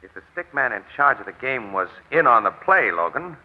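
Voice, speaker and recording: An actor with an American accent playing a wry, confident crime photographer in a breezy, slangy 1940s radio drama style. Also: male voice, mid-range voice, sing-song, a little fast. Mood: breezy, assured. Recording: muffled old radio broadcast with music.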